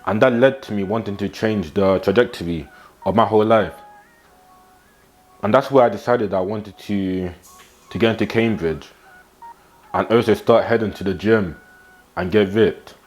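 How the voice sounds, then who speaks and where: A man's voice: on the low side, normal speed, pauses often, soft background music. A nineteen-year-old man, talking straight to camera with blunt, slangy motivation and repeated catchphrases, filmed in a small room at home. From England. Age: teens. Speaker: fitness and self-improvement vlogger.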